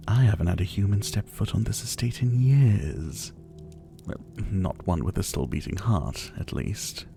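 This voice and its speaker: A man's voice: fairly low pitch, warm tone. A man playing a menacing, teasing vampire captor in an intimate ASMR roleplay, drawling and mockingly polite. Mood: teasing menace. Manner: slow, drawling, mockingly polite, with breathy chuckles. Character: menacing, teasing male vampire captor, silver-haired, about seventy.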